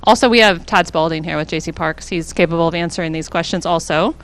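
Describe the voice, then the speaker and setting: A woman coordinator giving an informal presentation to a city council, speaking into a handed microphone with relaxed fillers. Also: lowish voice, quick pace. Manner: informal, friendly explaining with fillers. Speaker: hospital community health coordinator. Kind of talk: public presentation at a council meeting. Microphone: handheld microphone handed to her.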